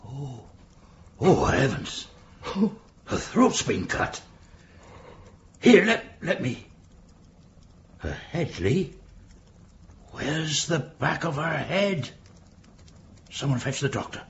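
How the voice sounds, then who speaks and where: Commanding gentleman, wildly swooping voice. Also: a little high, big pitch swings, very slow, long pauses, full character voice. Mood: horrified. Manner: authoritative, urgent, exclamatory. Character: commanding Victorian gentleman in charge, stern and shocked. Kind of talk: radio drama acting. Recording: dull lo-fi audio with noise.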